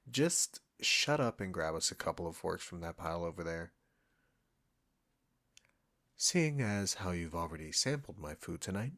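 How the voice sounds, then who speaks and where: Man acting as a low-voiced, cocky chef. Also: a bit bright, full character voice. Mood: grudgingly fond. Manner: smug, bantering, teasing. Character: cocky, condescending male chef, teasing a longtime rival. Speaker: audio roleplay performer. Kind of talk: intimate roleplay audio drama addressed to the listener.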